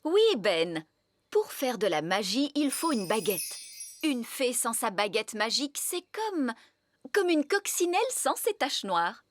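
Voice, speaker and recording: A woman's voice: fairly high pitch, very animated, measured pace, slight background noise. A woman playing a prim, bossy nanny fairy in a cartoon, lecturing in a pompous, fussy manner. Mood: stern, lecturing. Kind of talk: French-language cartoon dubbing.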